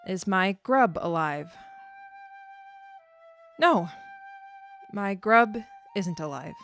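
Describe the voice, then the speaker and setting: Stranded female alien, slow pace, solemn, stoic, grieving, deliberate, audio drama, faint music. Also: fairly low pitch, a bit bright. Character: wounded, stranded alien insect-like creature, grieving, stoic, reflective.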